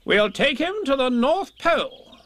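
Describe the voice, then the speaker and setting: A man's voice: very high-pitched, expressive, unhurried, bright, forceful tone, voice pushed up, heavily acted. A man voicing a bossy, self-assured, scheming rabbit from a children's storybook, speaking in an emphatic, officious way. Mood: confident, persuasive. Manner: emphatic, officious, wordy explaining. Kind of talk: children's storybook character reading.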